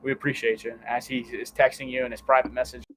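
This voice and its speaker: A man's voice: medium pitch, flat delivery, quick pace, a bit bright, a little hiss or hum, low-quality recording. A man hosting a sports livestream, mixing live play-by-play with casual, teasing banter. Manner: banters and teases his co-host.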